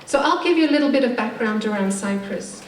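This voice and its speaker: A woman's voice: fairly high pitch, expressive, fairly quick. A woman with an English accent giving a conference panel presentation in articulate, academic phrasing with some hesitation. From Turkish Cypriot immigrant. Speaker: theatre maker speaking on a conference panel. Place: conference room, recorded from a distance. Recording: echoey room, distant mic, background noise.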